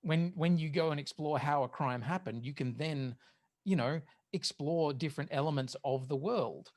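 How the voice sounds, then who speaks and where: A man's voice: on the high side, normal speed, darkish tone. A man co-hosting a podcast in casual, opinionated discussion of pop culture, swearing now and then. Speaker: podcast host.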